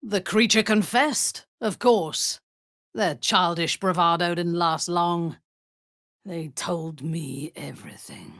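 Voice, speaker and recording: An actress voicing a ruthless, imperious female dark elf commander in a fantasy game, with haughty, formal, menacing delivery. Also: on the low side, takes it slowly, no room sound, studio quality. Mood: stern, commanding. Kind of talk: dramatic fantasy game voice acting.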